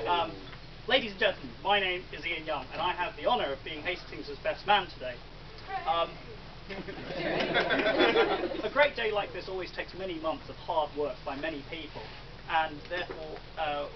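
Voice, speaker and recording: A man in his thirties with an English accent, giving a best man's toast in a large wedding marquee, with dry jokes and pauses for laughs. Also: on the high side, very noisy. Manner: dry joking delivery with ums and pauses for laughs. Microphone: far camera, no mic. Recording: distant dull camera audio.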